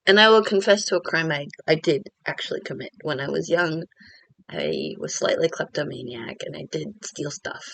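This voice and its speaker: A woman's voice: sits low, expressive, normal speed, gravelly, fairly bright tone, dry recording, low-quality recording. Woman vlogger, casual confessional ramble, self-deprecating, dry room. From Korean American.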